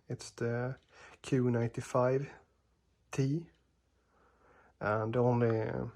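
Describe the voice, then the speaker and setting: A man talking slowly and carefully in English, with a gravelly, creaky edge to his voice. His pitch stays flat and level as he hesitates and restarts his sentences. He is recorded on a camera mic in a dark living room at home. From Sweden. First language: Swedish. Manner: hesitant, many fillers and restarts, apologetic. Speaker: TV reviewer. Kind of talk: casual product demo narration.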